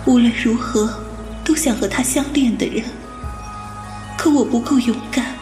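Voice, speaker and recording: A young lotus spirit maiden voiced by a woman, gentle and wistful. Her voice sits high, in audio drama style, with music playing clearly behind her. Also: moderate pace, lightly acted. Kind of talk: Chinese audio drama acting.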